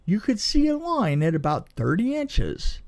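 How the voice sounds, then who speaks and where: Higher-voiced man telling casual anecdotes. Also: a little slow. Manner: animated retelling with repeated phrases and acted-out quotes. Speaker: film effects veteran, podcast guest.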